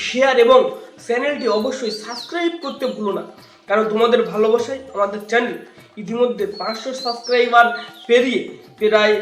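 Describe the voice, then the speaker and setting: Very high-pitched young man vlogging over music. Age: young adult. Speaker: vlogger and channel host. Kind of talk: scripted-sounding vlog intro and outro to viewers. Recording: roomy echo with loud music.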